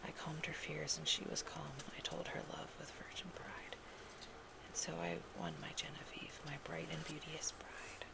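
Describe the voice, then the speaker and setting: This is a hushed, whispering voice with a very crackly, creaky edge. It reads love poems in an ASMR roleplay style, softly and intimately. The phrases are unhurried and breathy, at a medium pitch. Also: little pitch movement. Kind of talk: whispered ASMR poetry reading.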